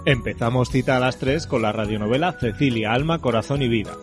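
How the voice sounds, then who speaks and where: A man's voice: mid-range voice, fast talker. A man with a Spain Spanish accent presenting a radio segment from a script. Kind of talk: scripted programme introduction. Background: music.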